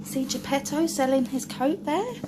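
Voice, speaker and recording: A woman's voice: high-pitched, animated, normal speed, noisy background. A woman reading a picture book aloud to young children in a sing-song storytime voice, often stopping to ask the listener questions.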